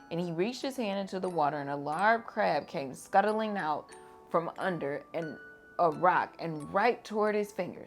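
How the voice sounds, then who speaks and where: A woman reading a children's picture book aloud to kids in a gentle, expressive storytime manner. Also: fairly low pitch. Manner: gentle, expressive, lively on exclamations. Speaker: storytime reader.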